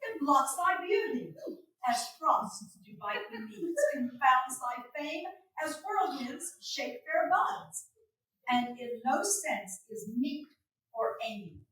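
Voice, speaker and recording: A woman playing an earnest, admonishing wife, declaiming Shakespearean verse with measured emphasis in a staged reading. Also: high voice, big pitch swings, fairly bright tone, heavily acted. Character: Katherina, tamed wife giving an earnest admonishing speech. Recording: very echoey room, far from the mic.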